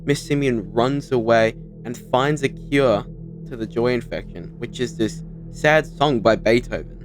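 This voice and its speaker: Casting: young man, medium pitch, casual video essay narration with a music bed. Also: a little slow. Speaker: video host. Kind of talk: casual scripted video commentary. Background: background music.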